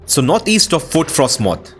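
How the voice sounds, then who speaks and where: A man's voice: a little high, sing-song, normal speed, soft background music. A man hosting a gaming video with casual playthrough commentary, reacting aloud as he plays.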